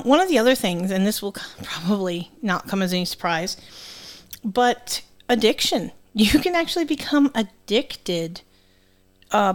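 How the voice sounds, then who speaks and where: A middle-aged woman with a New England accent touched by Southern, co-hosting a podcast in a blunt, sweary, teasing way. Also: medium pitch. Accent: New England, with some Southern. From New England, United States. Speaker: podcast co-host. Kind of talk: casual chat with presented research.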